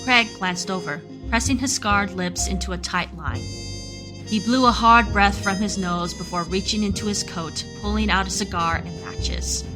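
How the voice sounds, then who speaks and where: A woman's voice: mid-range voice, lightly acted. A woman reading scripted third-person narration as the storyteller of a noir mystery radio play.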